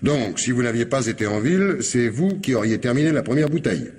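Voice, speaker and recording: An actor playing a polite but insistent police commissioner in a radio mystery, questioning deliberately with hesitations and repeated words. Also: male voice, mid-range voice, lively intonation, darkish tone, slight background noise, lightly acted. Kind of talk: French radio mystery drama acting.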